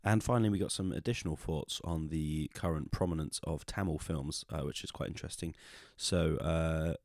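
A man's voice: extremely low pitch, moderate pace, a little mellow, no echo, studio quality. A British man presenting a podcast as host in a conversational style.

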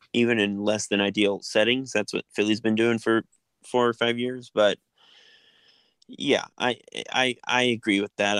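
Podcast host, male, lowish clear voice, dry close mic, relaxed chatty delivery. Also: dry recording. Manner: easygoing, filler-heavy, frequent restarts. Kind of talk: casual sports podcast chat.

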